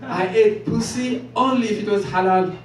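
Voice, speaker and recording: A man from Ethiopia performing a stand-up set in a comedy club, delivering jokes deadpan and chuckling at his own lines. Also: high voice, moderate pace, crowd noise. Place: comedy club stage with live audience. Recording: echoey club room, from far off, loud noise.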